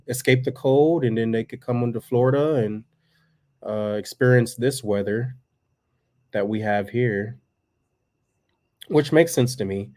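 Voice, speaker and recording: Man in his thirties, low-pitched, relaxed home-stream co-host. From Texas. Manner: relaxed and rambling, with many fillers. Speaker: livestream co-host. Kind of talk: casual chat reading viewer comments.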